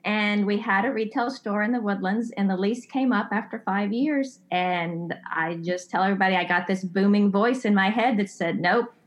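A middle-aged woman, speaking candidly as an interview guest, earnest and confessional, often restarting her sentences. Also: mid-range voice. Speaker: guest, designer and child advocate. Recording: slightly dull lo-fi sound.

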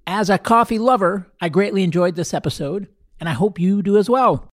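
A man's voice: high-pitched, a little fast, dry recording. Male podcast host, close dry sound. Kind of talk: podcast introduction and interview.

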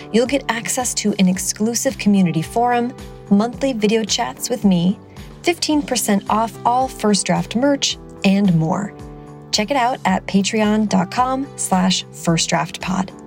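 A woman's voice: medium pitch, a little mellow. A woman hosting a podcast about writers, reading her upbeat intro and then asking interview questions. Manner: upbeat, promotional read. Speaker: podcast host.

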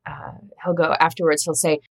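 Low, gravelly-voiced woman from Minnesota. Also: little pitch movement, moderate pace, no room sound, clean studio sound. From Minnesota, United States. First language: Latvian. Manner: reflective, storytelling, warm laughs. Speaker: translator and editor, interview guest. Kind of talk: casual interview conversation.

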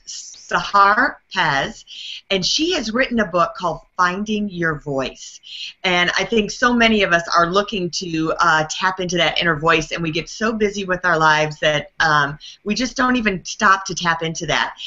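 A woman's voice: on the low side, bright, forceful tone. A woman hosting an interview show, introducing it and putting questions to a guest. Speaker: show host. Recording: dull lo-fi audio.

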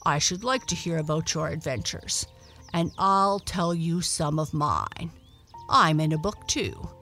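A woman voicing a gruff but kindly male dog in a children's storybook, blunt, hearty and folksy. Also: sits low, unhurried, bright, forceful tone, faint music behind, exaggerated voice.

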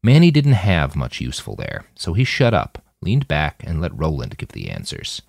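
A low-pitched man reads fiction as a podcast narrator. His storytelling swings widely in pitch and is full of expression. The sound is dry, with very little room in it. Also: wildly swooping pitch, normal speed, creaky, a little mellow, dry recording.

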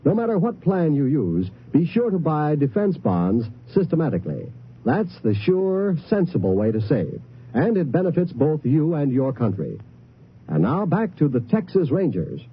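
A man's voice: fairly high pitch, huge pitch swings, moderate pace. A man reading a scripted public-service announcement as a 1950s radio announcer, formal, instructive and emphatic. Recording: slightly muffled, hissy 1950s radio recording.

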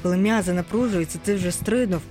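A woman's voice: fairly low pitch, fast, warm tone. A woman narrates a personal audio essay in a reflective storytelling way. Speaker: podcast narrator. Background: background music.

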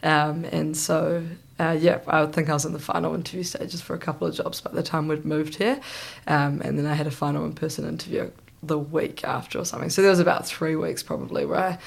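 A woman's voice: low-pitched, little pitch movement, fairly quick, vocal fry. A young New Zealand woman with a New Zealand accent, a podcast guest chatting casually with lots of ums and restarts. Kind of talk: casual interview chat.

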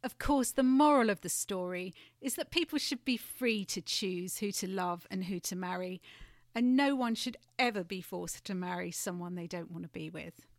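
A woman narrating a storybook expressively and gently, with chatty asides. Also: medium pitch, normal speed, no echo, studio quality. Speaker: guest storyteller.

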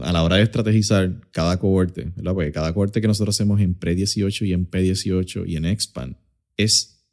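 A man with a Puerto Rican Spanish accent, an accelerator director answering interview questions in an explanatory way, mixing in English business terms. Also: low voice. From Puerto Rico. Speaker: startup accelerator director, guest.

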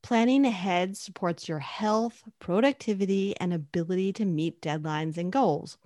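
A woman co-hosting a podcast who delivers prepared points in a steady, earnest way. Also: on the low side, moderate pace, no echo. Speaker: podcast co-host. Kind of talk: scripted podcast chat.

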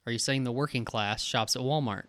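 A man's voice: medium pitch, brisk, fairly bright tone, dry recording. A man hosting a podcast, reading an upbeat intro and then trading jokes with his co-host in casual banter.

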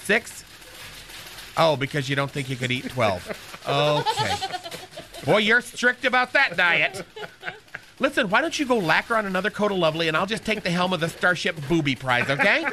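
A man's voice: high voice, big pitch swings, moderate pace, long pauses, a crowd in the background. A man voicing a flamboyant, gossipy male receptionist in a radio comedy sketch, with a lilting, swooping delivery. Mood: catty. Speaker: show's receptionist character.

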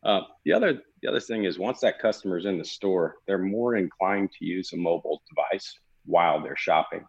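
A man with a very low, husky voice talks business in a relaxed podcast interview, speaking quickly and restarting his sentences as he goes. The sound is dull and lo-fi, like a remote line. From Europe. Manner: fast, conversational, frequent restarts. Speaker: guest executive.

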